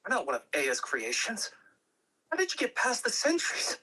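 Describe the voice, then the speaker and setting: A man's voice: very high-pitched, wildly swooping pitch, moderate pace, pushed and bright, voice pushed up, exaggerated voice, poor audio. A man voicing a brash young celestial guardian in a script reading, urgent and casually heroic. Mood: alarmed.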